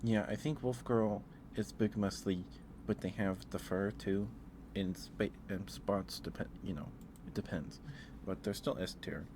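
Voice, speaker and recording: A man doing a casual, rambling ASMR livestream, speaking in a hesitant, musing way and repeating himself over clear background noise. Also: on the low side, steady tone, a little slow. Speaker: live streamer.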